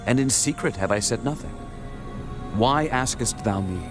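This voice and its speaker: An actor playing a calm, authoritative holy teacher, speaking solemnly and formally in a dramatized scripture production. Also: male voice, mid-range voice, moderate pace, over music, natural voice. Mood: earnest reproof.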